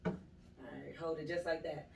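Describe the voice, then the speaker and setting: A woman co-hosting a podcast, lively and quick to jump in and joke. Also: deep voice, gravelly. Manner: lively, interjecting and joking. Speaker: podcast co-host. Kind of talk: casual interview chat. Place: echoey podcast room. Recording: echoey room, distant mic, background noise.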